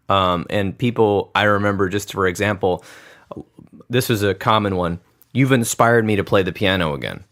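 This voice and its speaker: Low-voiced, reflective male podcast host. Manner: thoughtful, reflective, musing aloud. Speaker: podcast host, grandson of the pianist. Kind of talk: conversational interview.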